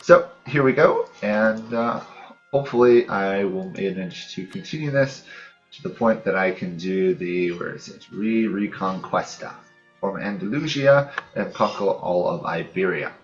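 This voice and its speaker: A man's voice: low voice, lively intonation, a little slow. Casual male gamer, dull lo-fi mic. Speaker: host of a gaming let's play. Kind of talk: casual unscripted game commentary. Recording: dull lo-fi mic, music behind. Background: background music.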